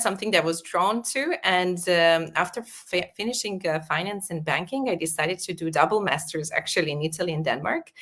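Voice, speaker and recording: A woman's voice: lowish voice, fairly bright tone. A middle-aged woman from Serbia, a podcast guest, talking thoughtfully with many small restarts. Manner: thoughtful, with frequent restarts and fillers. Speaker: podcast guest, agency founder. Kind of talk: casual interview talk.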